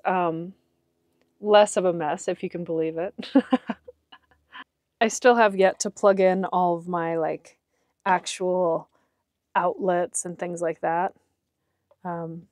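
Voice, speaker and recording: A woman in her forties talks casually through a vehicle project, chatty and quick to laugh. Her voice sits in a comfortable middle range. The sound is dry and close, recorded in and around an SUV. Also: medium pitch, dry recording. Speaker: vlogger. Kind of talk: casual DIY narration. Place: inside an SUV and a carport.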